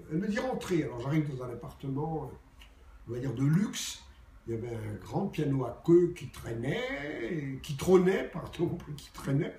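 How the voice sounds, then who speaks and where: A man's voice: on the high side, mellow. An older man, a journalist, telling anecdotes from his career in a theatrical storytelling manner. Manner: theatrical storyteller, acts out dialogue. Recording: roomy, distant mic, faint background noise.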